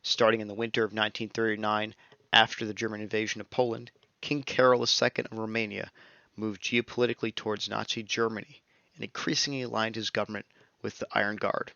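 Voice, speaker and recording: A young man narrating a scripted history lesson in a steady, measured reading voice, as a close voice-over. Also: lowish voice, vocal fry, dry recording. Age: young adult. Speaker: student narrator. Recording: dull lo-fi dry recording.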